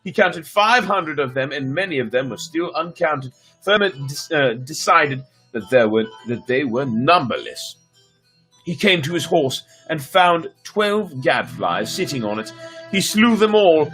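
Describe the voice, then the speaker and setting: A man's voice: fairly high pitch, expressive, a little slow, fairly bright tone, breathy edge, poor audio. A man telling a folk tale as on a live stream, reading dramatically with casual asides and stumbling over names. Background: background music.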